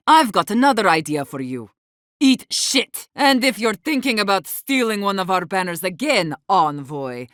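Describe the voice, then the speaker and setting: Low-voiced, blunt, embittered warden leader. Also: female voice, dry recording, studio quality. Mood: angry, threatening. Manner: blunt, guarded, sharp-tongued, swearing. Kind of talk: video game character dialogue.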